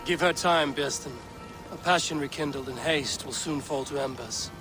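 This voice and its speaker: A woman playing a steadfast, commanding Viking warrior in a historical game, speaking in measured, blunt, formal phrases. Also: low-pitched, music in the background, lightly acted. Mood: measured, advising. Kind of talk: dramatic period game acting.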